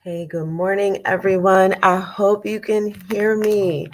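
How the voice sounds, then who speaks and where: A woman's voice: mid-range voice, steady tone, measured pace. A congested woman conflict coach hosting her show, chatting casually in a rambling way with many fillers and repeats. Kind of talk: casual live show chat.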